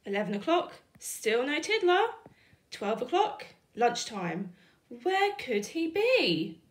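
A woman narrates a picture book in a fairly high, very animated voice. Her delivery is rhythmic and deliberate, and the room around her is echoey. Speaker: teacher reading a picture book to children. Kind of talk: expressive storybook narration. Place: indoor room, book on wooden surface. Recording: roomy indoor echo.

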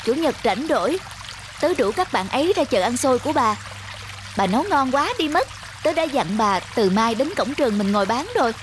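High-voiced earnest schoolgirl. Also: lively intonation, a little fast, background noise. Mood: helpful, friendly. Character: earnest, helpful young schoolgirl class monitor. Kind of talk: cartoon voice acting. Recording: music loud behind the voice.

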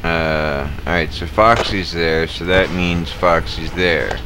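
A man giving casual gameplay commentary, muttering and swearing with sudden yells at the game. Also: low-pitched, takes it slowly, heavy background noise. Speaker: gaming video creator. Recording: loud game music behind the voice.

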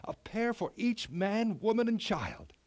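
A man's voice: high voice, very animated, unhurried, a little mellow, pitched above the performer's own voice. A man voicing a brave, happy young prince in a storybook, making earnest announcements. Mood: excited. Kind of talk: storybook character acting.

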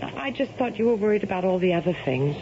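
A woman's voice: medium pitch, expressive, quick pace, lightly acted. Woman acting a dreamy young daughter, muffled vintage radio. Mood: dreamy, curious. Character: romantic, dreamy young adult daughter, eager and curious. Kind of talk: 1970s radio drama acting. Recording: lo-fi noisy vintage radio recording.

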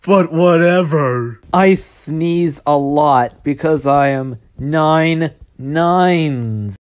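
Slow, low woman reading quick jokes. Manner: short deadpan one-liners. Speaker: meme narrator. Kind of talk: comic character roll call.

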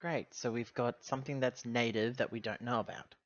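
A man talks through plans as a player in a tabletop game. His voice sits at a middle pitch. The recording is dry and slightly muffled. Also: steady tone, a little fast, no room sound.

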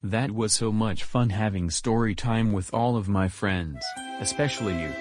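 A man's voice: on the low side, normal speed, soft background music, natural voice. A man voicing a friendly, patient purple dinosaur who hosts a children's cartoon show and explains things simply. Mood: cheerful. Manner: cheerful, patient, addressing child viewers.

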